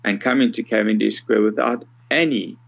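A South African man in his sixties giving conversational, measured interview answers with some hesitation. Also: lowish voice, fairly even intonation, gravelly, fairly bright tone, lo-fi sound. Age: 60s. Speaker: podcast guest, accessibility consultant.

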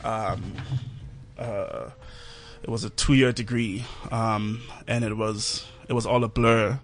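A man with a South African accent, a Zulu speaker, answering interview questions in a measured, reflective way. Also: fairly low pitch, level tone. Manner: measured, reflective, softening toward the end of phrases. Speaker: film director, studio guest. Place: radio studio.

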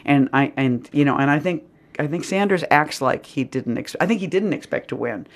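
A woman's voice: low voice, normal speed. A woman with a Boston accent, a poet talking casually in an interview, rambling with lots of "you know" and "like".

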